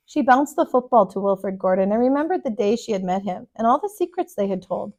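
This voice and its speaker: A woman reading a children's storybook aloud with deliberate, emphatic, expressive storytelling. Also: mid-range voice. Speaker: storybook reader, kindergarten teacher.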